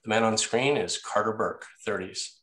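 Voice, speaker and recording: A man's voice: lowish voice, normal speed, fairly bright tone, poor audio. A man narrating the scene directions of a screenplay at a table read. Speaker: narrator reading stage directions.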